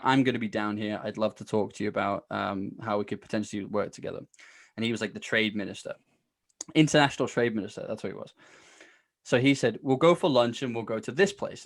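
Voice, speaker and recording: A man's voice: fairly low pitch, no room sound, studio quality. A man speaking as a podcast guest in a casual, chatty storytelling style, acting out bits of conversation as he goes. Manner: chatty, informal, acts out quoted exchanges.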